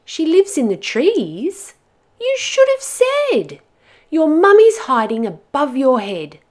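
A woman's voice: high voice, huge pitch swings, takes it slowly, voice pushed up, heavily acted. A woman voicing an eager, helpful but muddled butterfly in a storybook, encouraging and exclamatory. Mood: eager, confident. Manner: bright-eyed, encouraging, exclamatory.

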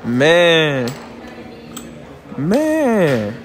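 A man's voice: fairly high pitch, wildly swooping pitch, drawn-out words. A young man hosting casual street interviews outdoors, joking and teasing in slangy talk.

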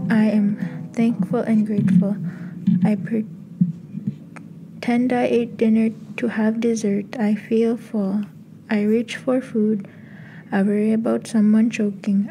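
A woman's voice: fairly high pitch, mellow, poor audio. A woman reading a simple, repetitive poem aloud. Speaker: poem reader.

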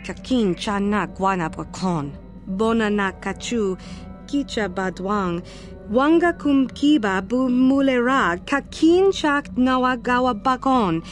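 A woman's voice: fairly high pitch, animated, slow, faint music behind. An actress playing a stern alien warrior woman who speaks an invented alien tongue in video game dialogue. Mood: insistent.

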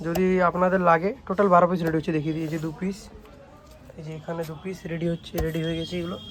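Young male shop presenter, West Bengali accent, high voice, informal showcase delivery with frequent check-in tags, faint ambient noise. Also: level tone, very fast. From West Bengal, India. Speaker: speaker repair shop presenter. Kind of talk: informal product showcase vlog.

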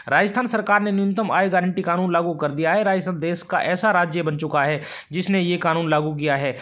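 A man with a high voice reads scripted news updates at a quick clip. He delivers them emphatically, as a presenter announcing headlines. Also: fairly bright tone.

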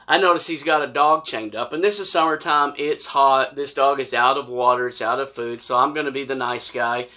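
Bright-voiced middle-aged American man telling stories. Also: mid-range voice. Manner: animated, folksy, acts out dialogue. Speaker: storyteller, former law enforcement officer. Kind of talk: casual personal storytelling. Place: small wood-paneled room indoors, seated on a couch.

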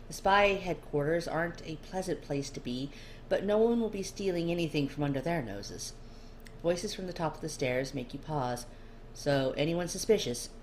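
A woman's voice: low-pitched, normal speed. A woman narrating a scripted story in the second person, as an amateur reader of fiction. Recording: clear background noise.

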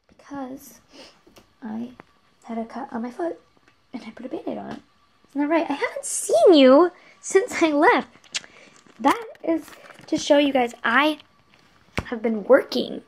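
A woman's voice: sits high, vocal fry. A teenage girl with a stuffy nose, chatting casually and rambling to her webcam in a small bedroom, sometimes dropping to a whisper. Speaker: vlogger. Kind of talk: casual vlog chat. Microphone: webcam on a desk lamp.

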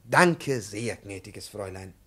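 Fussy, swooping old professor. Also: male voice, fairly low pitch, lively intonation, background noise. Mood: fawning, fussy. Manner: obsequious and halting, turning imperious. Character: fussy, excitable, over-polite aging male professor. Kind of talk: 1950s German-language radio play acting.

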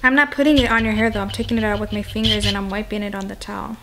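A young woman in a living room explaining quickly and insistently while working, picked up by a camera a short way off. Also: mid-range voice, steady tone, noisy background. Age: young adult. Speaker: girlfriend retwisting his locs.

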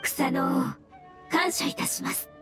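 A woman voicing a gentle, solemn mushroom spirit in an anime game, speaking formally and with earnest courtesy. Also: high voice, very animated, unhurried. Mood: serene, reverent. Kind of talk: Japanese anime game voice acting. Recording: game music behind.

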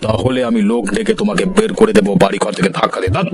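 A man's voice: on the low side, sing-song, rapid-fire. A man playing a haughty village landlord in a cartoon, commanding and scornful in his dramatic dialogue. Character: stern, haughty village landlord, quick to condemn. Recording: music behind the voice.

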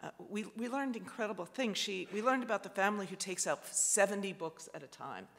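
A man with a fairly high, bright and gravelly voice presents at a panel, halting and full of fillers and restarts. He sounds like he's in a large auditorium with a long reverb tail. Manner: hesitant, many fillers and restarts. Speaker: architect. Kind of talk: panel talk presenting slides.

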